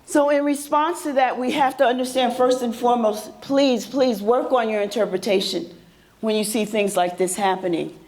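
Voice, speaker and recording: A woman's voice: a little high, normal speed, a little mellow. Soothing woman speaker quizzing her audience. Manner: conversational, quizzes the audience with questions. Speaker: presenter at a mental health symposium. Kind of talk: interactive workshop presentation. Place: conference room before a live audience.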